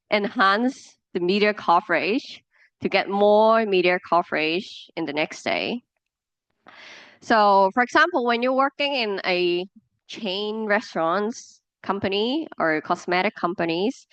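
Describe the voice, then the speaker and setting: Hong Kong woman lecturing, low and smooth. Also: fairly bright tone, no room sound, dull audio. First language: Cantonese. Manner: explains with examples, frequent fillers and restarts. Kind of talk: classroom presentation.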